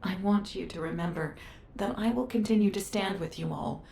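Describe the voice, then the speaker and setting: An actress playing a cool, dignified female councillor in a video game, speaking in a composed, formal, deliberate way. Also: mid-range voice, gravelly, mellow, slight background noise, lightly acted. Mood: assuring. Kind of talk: scripted video game dialogue.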